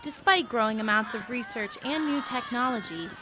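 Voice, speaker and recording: A woman in her twenties reading a scripted news report as a student reporter, with clear background noise. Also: on the high side, normal speed, over loud music, over a noisy crowd. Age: 20s.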